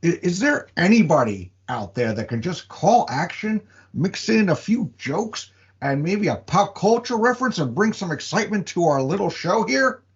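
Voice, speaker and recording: A man playing a worried, exasperated wrestling promoter with a halting manner in a comedic audio play. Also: high voice, very animated, lo-fi sound. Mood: exasperated, weary.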